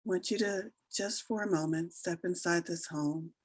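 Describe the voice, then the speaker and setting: Low-voiced woman guiding a meditation. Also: no echo. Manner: soothing, unhurried instruction with long pauses. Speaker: meditation guide. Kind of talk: slow guided meditation narration.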